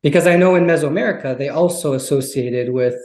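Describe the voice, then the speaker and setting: A man's voice: fairly high pitch, fast, a little mellow. A man hosting an interview, asking curious questions and answering often with short "oh, okay" remarks. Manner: curious, lots of "oh, okay" replies. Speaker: interviewer host. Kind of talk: casual interview. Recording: echoey room.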